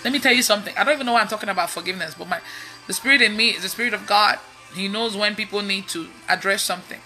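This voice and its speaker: A woman in her thirties with a Nigerian accent, preaching casually online in an animated, emphatic way and repeating phrases. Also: mid-range voice, racing, soft background music, rough recording. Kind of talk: casual testimony-style preaching on a livestream. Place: indoors in a room at home.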